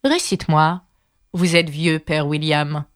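A woman's voice: sits low, fairly even intonation, takes it slowly, no echo. A woman voicing a stern, disagreeable storybook caterpillar who speaks in a curt, dry, commanding way. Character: stern, contemptuous, disagreeable caterpillar smoking a hookah.

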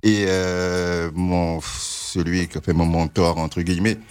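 A man's voice: sits low, flat delivery, takes it slowly, a little mellow, clean tone. A man from French Guiana, a reggae musician guest, talking casually and a bit hesitantly in a radio interview. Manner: casual, slangy, slightly hesitant.

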